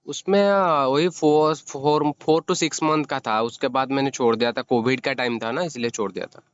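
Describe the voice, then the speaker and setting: A man's voice: medium pitch, steady tone, a little slow, clean tone. A man from West Bengal making a casual, somewhat hesitant job inquiry by phone. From West Bengal, India. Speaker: job-seeking caller. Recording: dull recorded phone call.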